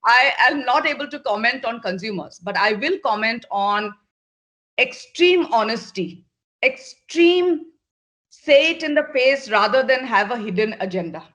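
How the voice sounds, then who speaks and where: A woman's voice: a little high, animated, measured pace, fairly bright tone. A middle-aged woman from Northeast India, a managing partner at an agency, answering interview questions in an earnest, direct way, often repeating words for emphasis.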